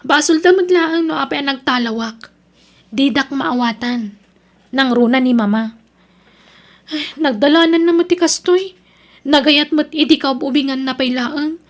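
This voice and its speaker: Casting: actress as young female student and girlfriend, high voice, audio drama. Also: moderate pace, long pauses. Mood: sad. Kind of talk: Ilocano audio drama acting.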